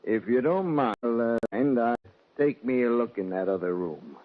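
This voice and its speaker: A blunt Old West lawman, acted by a man in a radio western, suspicious of everyone. His mid-pitched voice rises and falls widely, and the old recording is dull. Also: expressive, moderate pace, slight background noise, close to an everyday voice. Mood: gruff, suspicious.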